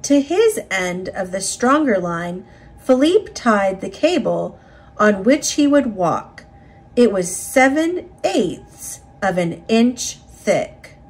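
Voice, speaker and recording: A woman reading a children's picture book aloud in a deliberate, dramatic storytelling manner. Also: mid-range voice, big pitch swings, husky. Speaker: storybook reader.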